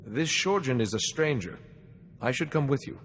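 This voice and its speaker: An actor playing a steady, wise Jedi in a video game, speaking in a calm, measured manner. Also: male voice, mid-range voice, close to an everyday voice. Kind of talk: video game cutscene acting. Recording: long reverb, music underneath.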